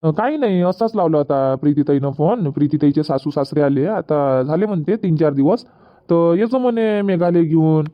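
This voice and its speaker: A man's voice: on the high side, animated, moderate pace, dry recording, dull audio, natural voice. A man voicing a young husband in a comedy cartoon, explaining plans at length with a Varhadi Marathi accent. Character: young husband Suraj, easygoing son.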